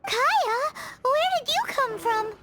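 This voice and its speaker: Game dub: a squeaky, animated little fairy sidekick, exclamatory and chirpy. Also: female voice, slow pace, heavily acted. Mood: surprised. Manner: chirpy, exclamatory, refers to herself by name. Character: tiny excitable female floating fairy companion. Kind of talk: video game dub acting.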